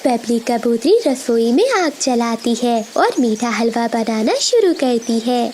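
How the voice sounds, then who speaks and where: A woman narrates a children's tale in a high voice with very lively intonation. It is scripted storytelling, recorded with faint noise behind it. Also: takes it slowly.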